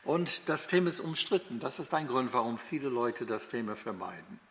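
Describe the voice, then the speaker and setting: A man's voice: medium pitch, sing-song, normal speed, fairly bright tone. An older man from the United States with an American accent, a native English speaker teaching the Bible in German, hesitant with many fillers and restarts. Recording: long hall reverb.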